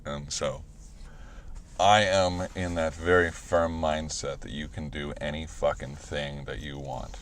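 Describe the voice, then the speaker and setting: A man's voice: extremely low pitch, steady tone, a little slow, a bit bright, slight background noise. A man in his late thirties, dry and sarcastic as a co-host in casual podcast chat. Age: late 30s.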